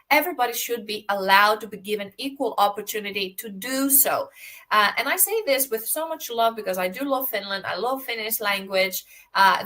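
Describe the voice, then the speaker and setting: A woman's voice: mid-range voice, sharp tone. A Greek-born woman hosting a video podcast on a call, talking passionately in long run-on stretches. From Greece. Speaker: podcast host. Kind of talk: casual interview chat.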